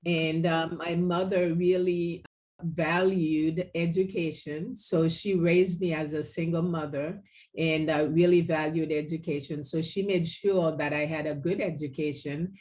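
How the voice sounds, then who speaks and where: A woman from Haiti giving measured answers as an interview guest and author. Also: low voice, fairly even intonation, a little mellow.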